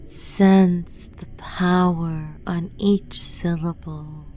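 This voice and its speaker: A woman narrating a scripted guided meditation in a hushed, deliberate, incantatory way. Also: fairly low pitch, fairly even intonation, soft background music.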